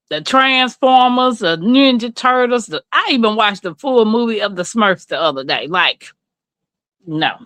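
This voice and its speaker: Southern lady chatting on a livestream, slow talker, bright voice, pretty dry sound. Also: fairly high pitch, clear, resonant tone, no room sound. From Mississippi, rural southern United States. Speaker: livestream host. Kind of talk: casual livestream chat answering viewers.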